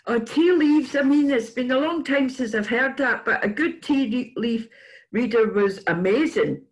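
An older woman hosting a casual video-call interview, with a halting delivery that repeats words as she goes. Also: a little high, rough recording. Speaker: interview host. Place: indoors in a room at home, on a video call. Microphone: computer microphone on a video call.

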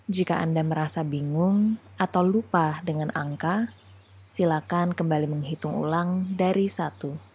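A woman leading a guided meditation in a soothing, unhurried manner, leaving gentle pauses between phrases. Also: low-pitched, breathy edge. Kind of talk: guided meditation, calm slow instruction.

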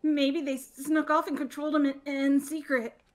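Woman as a high-pitched stammering nervous girl. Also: little pitch movement, moderate pace, lightly acted. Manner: stammering, anxious. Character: nervous, gloomy bookish teenage girl. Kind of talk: amateur visual novel voice dub.

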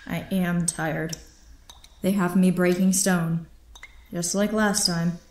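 A woman's voice: lowish voice, steady tone, slow pace, slight background noise. Echoing, defiant imprisoned woman captain, acted by a woman. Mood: weary, guarded. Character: defiant imprisoned female airship captain, bitter and proud. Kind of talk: dramatic radio drama acting. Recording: roomy, echoing sound.

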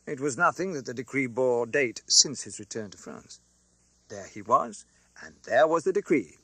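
A man narrating a classic novel as an audiobook, with a theatrical, expressive delivery. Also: mid-range voice, normal speed, breathy edge, no room sound.

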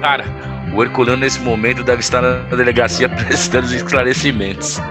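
A man's voice: medium pitch, steady tone, a little fast, music in the background, lightly acted. A man playing a casual, curious accomplice in an audio drama. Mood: amused.

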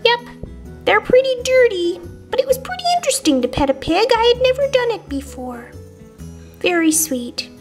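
Squeaky little lamb girl. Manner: chirpy, childlike, giggly. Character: cute excitable little lamb girl plush toy. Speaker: vlog host. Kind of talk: voice-over narration of a puppet travel vlog.

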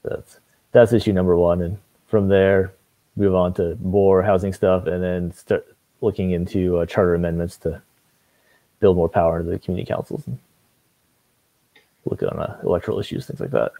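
A young man from Maine, a city council candidate, talking on a video call from a room at home, relaxed and hesitant, with many fillers. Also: low-pitched, moderate pace, long pauses, dry recording, narrow, tinny audio. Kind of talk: casual video call interview. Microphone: video call webcam.

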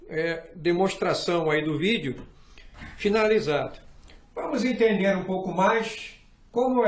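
A man's voice: sits high, distant mic. A middle-aged man from Brazil teaching fly rod building in a patient, methodical way, often checking in with the viewer as he works. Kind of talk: hands-on workshop tutorial. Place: small indoor workshop room. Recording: echoey room, voice off-mic.

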